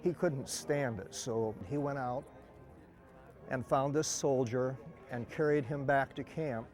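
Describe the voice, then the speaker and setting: A man tells a story in a mid-pitched voice. Clear music runs underneath him. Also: slow, darkish tone. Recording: music behind the voice.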